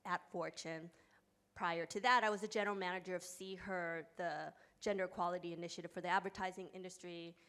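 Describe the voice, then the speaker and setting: A woman's voice: on the low side, a bit bright. A woman with a New York accent giving a polished introduction of herself as a panelist. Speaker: chief executive, panelist.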